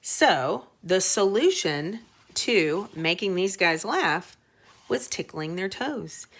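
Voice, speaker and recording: A woman's voice: lowish voice, lively intonation, slow pace, fairly bright tone. A woman elementary teacher explaining a story to young children in simple, conversational words, stopping for short check-in questions. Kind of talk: read-aloud reading lesson for young children.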